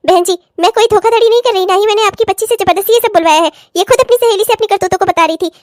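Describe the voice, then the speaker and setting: Casting: woman as no-nonsense relative in a family drama, high voice, righteous and cutting. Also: racing, a little mellow, dry recording, clean studio sound. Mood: firm, explaining. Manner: emphatic, sarcastic, lecturing. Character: stern, righteous woman in-law exposing the family's hypocrisy. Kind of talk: melodramatic family story acting.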